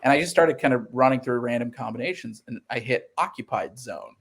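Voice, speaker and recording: A man's voice: mid-range voice, fairly quick, creaky, breathy edge. A man in his thirties talking as an interview guest, explaining his creative work earnestly and acting out quotes as he goes. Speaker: interview guest, comic book writer. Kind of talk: conversational interview storytelling.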